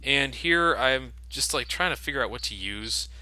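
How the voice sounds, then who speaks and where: A man's voice: medium pitch, animated, normal speed, pushed and bright, dry recording, poor audio. A man giving casual, sardonic, sweary commentary as he plays a video game. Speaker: video game let's player and host.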